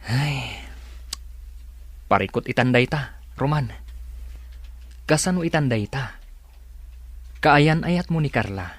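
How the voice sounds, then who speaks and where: Mid-pitched, concerned man played by an actor. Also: animated, takes it slowly, long pauses, no room sound. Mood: advising. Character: candid, concerned male friend Lito, advising his pal. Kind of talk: Ilocano radio drama acting.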